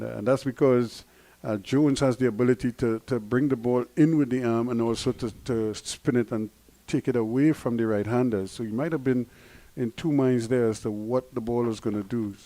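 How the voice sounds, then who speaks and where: A man with a lightly Guyanese-tinged accent giving measured, analytical live cricket commentary, with frequent chuckles. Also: medium pitch, dark tone, dry recording. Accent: Guyanese variation.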